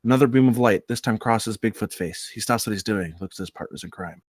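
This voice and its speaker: A man's voice: on the low side, quick pace, no echo, clean studio sound. A man narrating, reading screenplay action aloud at a table read. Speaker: script reader, narrator.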